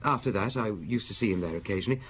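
An actor playing a nervous, well-bred young aristocrat in a radio drama, speaking politely and hesitantly. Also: male voice, on the low side, very fast, slightly rough. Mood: earnest, nervous. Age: young adult. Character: earnest, nervous young French aristocrat, a student. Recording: old radio broadcast with background noise.

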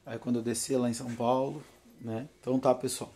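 A man's voice: mid-range voice, level tone, a little fast, mellow. A man in his thirties with a southern Brazilian accent, vlogging casually into a phone at arm's length. He is tired and nervous, chatting loosely and laughing often. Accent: southern Brazilian Portuguese. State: tired from no sleep. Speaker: paranormal vlogger. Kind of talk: casual selfie vlog. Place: home porch, then inside a car. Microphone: phone held at arm's length.

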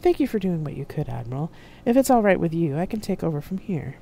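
This is a serene woman avatar who commands the room in a science fiction audiobook, as a narrator puts her on. The tone is dark and mellow with a creaky edge, and she speaks like a revered negotiator. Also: mid-range voice, very animated, fast, a little hiss or hum.

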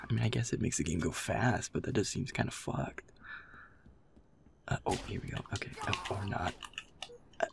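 A young man talks casually through his gaming session in a very creaky voice. His delivery is slow but swings widely in pitch, full of muttered swearing and self-deprecation. Controller clicking and background noise sit behind him. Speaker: gamer, audio creator. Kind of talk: casual chatter while playing a shooter game. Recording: noisy room with controller clicking. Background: game controller clicks.

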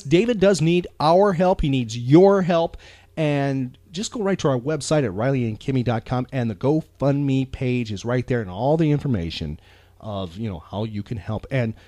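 A man's voice: mid-range voice, mellow, no echo. An American man co-hosting a podcast in a casual, emphatic, earnest and rambling way. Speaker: podcast co-host. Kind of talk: casual chat.

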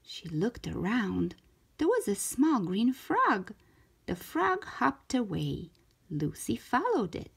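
A woman narrating a children's story aloud in an expressive, careful storytelling manner, as a storytime host. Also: mid-range voice, slow pace, creaky, husky. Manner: animated, careful storytelling delivery.

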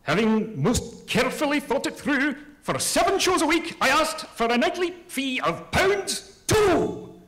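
A man's voice: very high-pitched, very animated, measured pace, fairly bright tone, heavily acted. A man playing a grandiose, self-important poet who declaims in rhyme in a theatrical comic reading. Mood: earnest deliberation. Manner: pompous, declamatory, rhyming.

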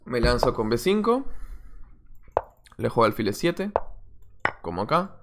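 A man's voice: mid-range voice, very animated, unhurried, a bit bright, slight background noise. A young man, a chess coach, commenting on his own game as he plays it live, muttering moves and chatting to viewers from a small room at a desk webcam. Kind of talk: live-stream commentary while playing.

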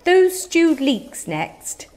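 A woman's voice: high voice, big pitch swings, very slow, a little mellow, heavily acted. Woman playing a showy, witchy potion-maker. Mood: brisk, focused. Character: showy, brisk female medicine-maker, witch-like. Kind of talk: comic audio drama acting.

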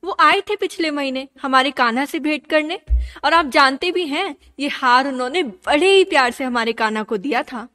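A woman playing an earnest, gentle young princess steadfast in her devotion, in a radio drama. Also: high voice, moderate pace, faint music behind. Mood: earnest, pleading. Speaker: actor in a student radio drama. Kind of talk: radio drama acting in Hindi.

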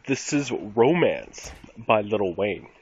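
Slow, theatrical man with swooping pitch. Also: lively intonation, darkish tone, slightly rough. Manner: theatrical, deliberate pauses between short phrases. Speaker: performer of dramatic readings in an online game. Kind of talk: mock-dramatic spoken reading of rap lyrics. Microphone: computer mic over game voice chat. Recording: muffled, noisy game voice chat.

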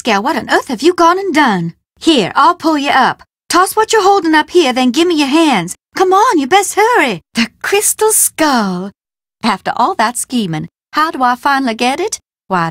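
A secretive, mystical housekeeper who schemes in a drawling, theatrical way,. Her pitch sits fairly high and swings widely. The read is close and dry, with no room sound. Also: no room sound. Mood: urgent, then smug. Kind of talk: video game voice acting.

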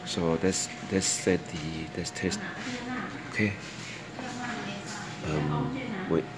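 Deep-voiced man, halting hands-on demonstrator. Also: heavy background noise. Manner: halting, repeats words and phrases; non-native, broken English phrasing. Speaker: presenter demonstrating electronics. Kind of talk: hands-on product demonstration, unscripted. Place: indoors at a desk with audio gear. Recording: music playing behind the voice. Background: music from the demonstrated audio player.

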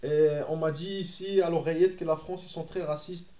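A young Belgian man who grew up speaking Dutch chats loosely with his stream viewers as he plays, full of fillers and restarts. His voice sits high for a man, and he records at home in an echoey room on a desk mic. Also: steady tone, normal speed, dark tone. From Belgium, Antwerp. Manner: chatty, answers chat, frequent fillers and restarts. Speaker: gaming streamer. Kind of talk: casual live-stream chat while playing.

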